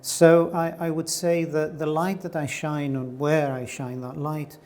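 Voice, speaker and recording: A man's voice: on the high side, little pitch movement, a little slow, a little mellow, faint music behind. A middle-aged man from Greece, an artist giving thoughtful, searching answers in an interview, with frequent fillers and restarts. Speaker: artist guest.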